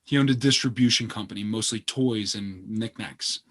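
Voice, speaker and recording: A man's voice: fairly low pitch, moderate pace, a bit bright. A man playing a guarded, earnest, privileged grandson in a naturalistic stage play, speaking in hesitant, casual phrases. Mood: uneasily candid. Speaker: actor in a two-man play.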